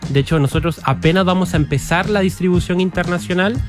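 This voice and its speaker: A young man, a filmmaker answering interview questions in a relaxed, hesitant way, with many pauses and restarts. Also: high voice, music in the background. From Costa Rica. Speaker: guest filmmaker.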